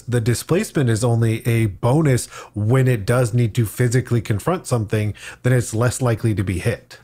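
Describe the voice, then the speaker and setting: A man with a mid-pitched voice talks casually. He is opinionated and makes his points with dry sarcasm. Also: mellow. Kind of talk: casual tabletop game discussion.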